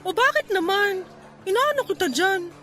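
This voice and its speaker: A woman with a Filipino accent playing a hardworking, playful young woman in a radio drama, teasing and expressive as she chats. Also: very high voice, moderate pace, faint music behind, exaggerated voice.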